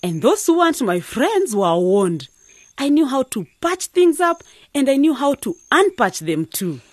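An actress playing a proud, scheming village matchmaker in a radio drama, boastful and theatrical. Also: female voice, high-pitched, big pitch swings, a little slow, darkish tone, dry recording, studio quality, heavily acted.

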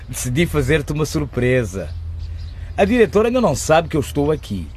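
A man's voice: fairly high pitch, sing-song, normal speed, a little mellow, a little hiss or hum. A man playing a pompous, self-important middle-aged executive father in a radio drama. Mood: smug, amused. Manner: pompous, chuckling.

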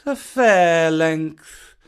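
A man's voice: medium pitch, sing-song. A man voicing a huge, proud and courteous old python in a storybook reading, drawing out his words with a hiss. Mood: stately. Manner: slow, drawn-out, hissing.

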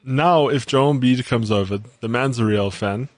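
A man's voice: medium pitch, normal speed, no echo. Easygoing man in dry podcast banter. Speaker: podcast co-host. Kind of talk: casual banter.

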